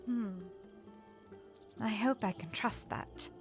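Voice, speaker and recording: Young princess, acted by a woman, high voice, proper and expressive, playful, eager, audio drama, soft music behind. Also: slow pace. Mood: doubtful. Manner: formal, expressive diction.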